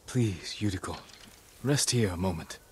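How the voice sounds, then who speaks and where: A low-voiced, stern samurai lord. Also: male voice, animated, measured pace, darkish tone, a little hiss or hum. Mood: concerned, protective. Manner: restrained, grave, terse. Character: stern, dutiful samurai lord, protective of his elder. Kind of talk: video game cutscene acting.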